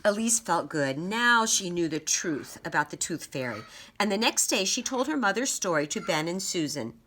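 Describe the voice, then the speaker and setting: Animated, bright-voiced woman reading to children. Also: fairly low pitch, a little hiss or hum. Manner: deliberate, clearly emphasised phrasing for children. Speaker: storyteller and teacher. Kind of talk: children's read-aloud and simple lesson.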